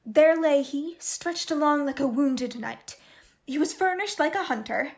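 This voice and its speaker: A woman's voice: high voice, measured pace, darkish tone. A woman acting a merry, teasing young noblewoman in a Shakespearean radio play, exuberant and theatrical, on a lo-fi recording. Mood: playful.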